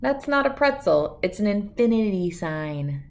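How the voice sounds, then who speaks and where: A woman's voice: mid-range voice, very animated, a little slow, vocal fry. Woman reader playing a young female painter, storybook dialogue, proud, bold, self-assured. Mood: correcting, assured.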